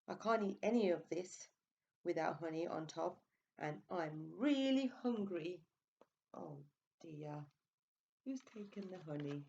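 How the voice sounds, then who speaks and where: Storybook bear cub, male, female reader: hungry, sweet-natured, earnest, unhurried, a little lo-fi. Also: on the low side, expressive, vocal fry, dark tone, exaggerated voice. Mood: worried, puzzled. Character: hungry, good-natured young male bear who loves honey. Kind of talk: children's picture-book read-aloud.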